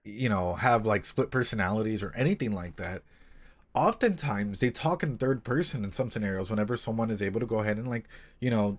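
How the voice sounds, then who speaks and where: A man hosting a livestream in a casual, slangy, sweary way, rambling through reaction and analysis and often repeating his phrases. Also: mid-range voice. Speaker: livestream host and practising therapist.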